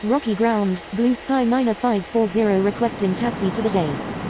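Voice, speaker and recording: A woman voicing a brisk airline pilot in a game, making scripted radio calls in clipped procedural phrasing. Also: mid-range voice, lightly acted. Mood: businesslike. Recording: noisy capture with steady whirring hiss.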